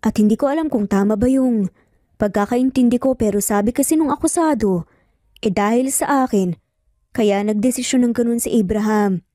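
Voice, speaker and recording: A woman's voice: a little high, moderate pace, dark tone, no echo, studio quality, natural voice. A woman voicing a preoccupied, bewildered female lawyer in an audio story, hesitant and pensive. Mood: pensive, disbelieving.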